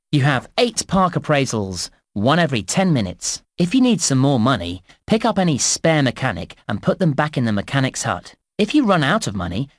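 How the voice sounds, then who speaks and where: An actor playing a chirpy, helpful male theme park guide in a children's game, with cheery, clearly enunciated scripted narration. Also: on the high side, dry recording, studio quality. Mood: helpful, explaining.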